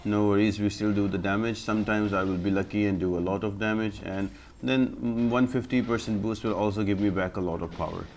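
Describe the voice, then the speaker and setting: A man's voice: on the low side, steady tone, brisk, a little mellow, slight background noise. A man giving explanatory gameplay commentary as a guide host, in an instructional, step-by-step manner.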